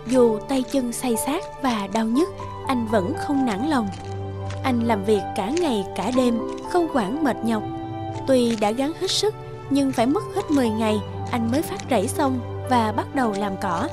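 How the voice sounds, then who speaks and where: A woman's voice: fairly high pitch, lively intonation, measured pace. A woman narrating a scripted children's folk tale as a storyteller. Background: music behind the voice.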